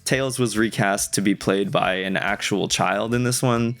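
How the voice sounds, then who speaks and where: A young man with a fairly low voice narrates a video essay. He is conversational and casually enthusiastic, dropping in casual asides. Guitar music plays softly behind him. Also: measured pace.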